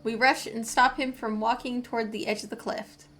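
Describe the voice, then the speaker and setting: A woman's voice: a little high, fairly even intonation, normal speed, a bit bright, slight background noise. A woman streamer giving casual, enthusiastic live game commentary and chatting to her viewers. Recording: game music behind voice.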